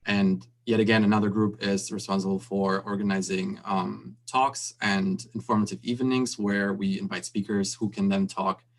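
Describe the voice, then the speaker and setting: A man's voice: lowish voice, fairly even intonation, moderate pace, hardly any pauses. A young male student panelist who explains things in a chatty, informal way, full of "um" and "like". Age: young adult. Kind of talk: panel Q&A answer.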